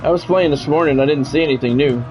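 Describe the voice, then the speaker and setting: A man's voice: fairly high pitch, fast, darkish tone, soft background music, low-quality recording. A middle-aged American man doing play-by-play of a football video game on a livestream, mixing polished, clipped announcer calls with casual chat to viewers.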